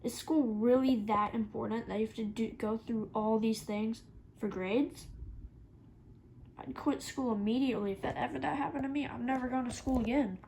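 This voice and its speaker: Female reaction host, on the high side, blunt, incredulous, casual delivery with slight background noise. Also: fairly even intonation, moderate pace, creaky, darkish tone, poor audio. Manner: blunt, incredulous, jokey exaggeration.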